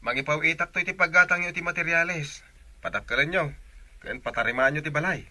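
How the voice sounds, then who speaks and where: A man's voice: on the high side, fast talker, pushed and bright. A man playing a devoted, earnest adult son in a radio drama, with an Ilocano accent.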